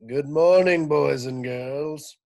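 A blustering, self-important principal barking announcements, loud and a bit raised in pitch, put on by a male reader. He lectures with pompous certainty. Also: takes it slowly.

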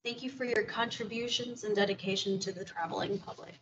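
A woman's voice: mid-range voice, steady tone, a little fast, highs cut off, background noise. A woman on a board's staff reading a certificate of recognition aloud in a conference room meeting.

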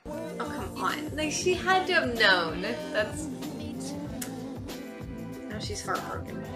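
A woman's voice: high voice, very animated, takes it slowly, loud music behind the voice. A woman in her thirties chatting casually as she reacts to a film, incredulous and exclamatory. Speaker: host reacting to a film with two boys. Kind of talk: casual movie reaction chat. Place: living room sofa. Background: film soundtrack.

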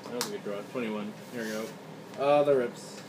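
A man's voice: mid-range voice, a little fast. A man hosting a casual card game video, chatting with viewers in a laid-back, chuckling way as he plays at a table. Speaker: card game player and channel host. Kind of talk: casual play commentary. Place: indoor room at a game table. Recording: roomy, distant, noisy phone capture.

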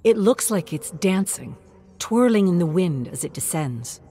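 A woman reading scripted story narration in an expressive, dramatic and deliberate way, with emphatic phrasing. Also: on the low side, soft background music. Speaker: narrator of game lore.

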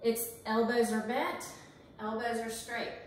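A woman's voice: on the high side, little pitch movement, unhurried, slight background noise. A woman in her forties leads a kitchen workout as a fitness instructor, counting reps and encouraging the viewer as she exercises. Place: large home kitchen, indoors. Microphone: camera across the room. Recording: echoey kitchen, voice from far off.

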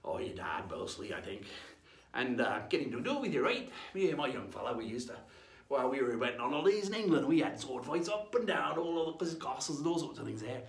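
A man's voice: medium pitch, very animated, fast talker, gravelly. A man playing a jolly, boastful old pirate storyteller, rambling along in a folksy, theatrical way as he hosts a playful lesson. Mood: chatty, instructive. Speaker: host of pirate-themed lessons. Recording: roomy echo.

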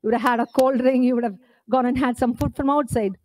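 A woman's voice: a little high, steady tone, quick pace, darkish tone, rough recording. Earnest woman speaking at a podium. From India. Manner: earnest, emphatic, uses rhetorical questions. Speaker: author at her book launch. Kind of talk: public speech at a podium. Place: indoor event stage. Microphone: podium microphone.

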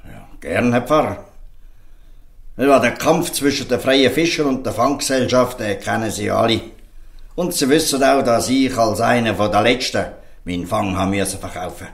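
A man's voice: mid-range voice, moderate pace, gravelly, close to an everyday voice. An actor playing an earnest, defiant fisherman in a Swiss German audio drama, speaking emphatically and pleadingly. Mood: earnest, firm. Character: earnest, defiant fisherman defending outcast children. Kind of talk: audio drama dialogue in dialect.

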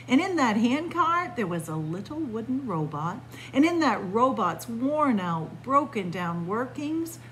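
A woman reading a picture book aloud as a library story time host, expressive and pausing deliberately between phrases. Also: fairly high pitch, a little hiss or hum, low-quality recording. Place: indoors in a library, among bookshelves.

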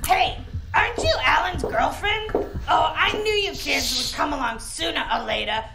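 Woman playing high, creaky, dramatic mother. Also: animated, normal speed. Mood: gushing. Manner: dramatic, rambling, self-pitying. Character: chatty, eccentric, guilt-tripping mother held captive. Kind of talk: audio drama acting. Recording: clear background noise.

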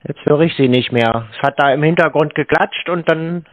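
A man's voice: on the high side, normal speed, dry recording. A man on a conversational phone call, sounding naive and asking insistent questions. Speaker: prank caller to scam call centres. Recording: slightly dull lo-fi call audio.

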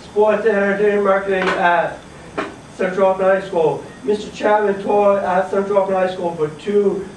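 High-voiced teen boy presenting in class. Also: little pitch movement, moderate pace. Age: teens. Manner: nervous, laughing, stumbling over words. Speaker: student presenter. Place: school classroom. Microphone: far camera. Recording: echoey classroom from far off, faint hiss.

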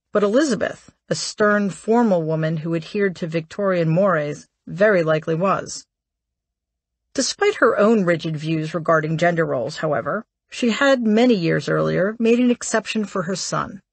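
A woman reading a memoir aloud as an audiobook narrator, in a measured, articulate way. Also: lowish voice, no room sound.

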